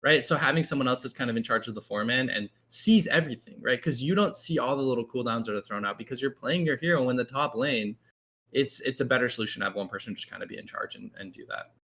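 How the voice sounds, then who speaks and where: A man guesting as a team shot caller, talking loudly in a rambling, filler-heavy casual way. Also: medium pitch, fast. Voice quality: loud voice. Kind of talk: casual panel chat.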